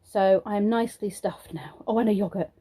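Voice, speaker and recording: A young British woman talks casually to camera from her home, her voice warm and mellow but a little throaty and congested. She rambles along with plenty of ums, switching to a sing-song tone when she praises children. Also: mid-range voice, fairly quick. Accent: British English. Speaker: vlogger and childminder. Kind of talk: casual vlog chat to camera. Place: at home, indoors.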